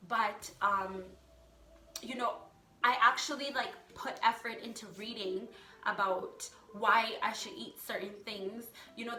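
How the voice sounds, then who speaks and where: A woman's voice: mid-range voice, pushed and bright. A young adult woman vlogger talking casually and motivationally to her followers, chatty and candid, with emphatic turns of phrase. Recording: echoey room, music underneath. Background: music.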